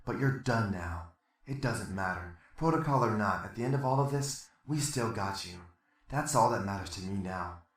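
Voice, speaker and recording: Somewhat low and set back in a roomy space, a man acts a resentful, by-the-book detective in a radio drama. He is curt and grudging. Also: moderate pace, lightly acted. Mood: bitter resolve. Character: weary, resentful by-the-book male police detective. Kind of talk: amateur radio play acting. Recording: roomy, distant-mic sound.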